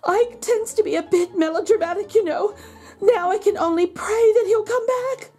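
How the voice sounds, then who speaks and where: Woman playing a fretful, high-voiced lady dog owner. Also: moderate pace, darkish tone, faint music behind. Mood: worried, upset. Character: upset female dog owner, fretful and fond.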